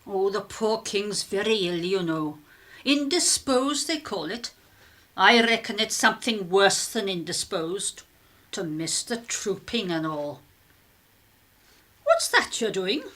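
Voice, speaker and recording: An actress playing a nosy, blunt, gossipy British working-class neighbour in a radio drama, speaking in colloquial phrases. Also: female voice, medium pitch, big pitch swings, slow, fairly bright tone. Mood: prying, chatty.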